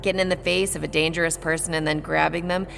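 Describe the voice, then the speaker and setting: Young vampire sorceress, lowish gravelly edge, curt and contemptuous audio-drama role, heavy reverb. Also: female voice, flat delivery, quick pace, fairly bright tone, faint music behind, full character voice. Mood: scornful anger. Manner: scornful, curt, commanding. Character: young female vampire mage, angry, bossy, scornful. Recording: long reverb, faint noise.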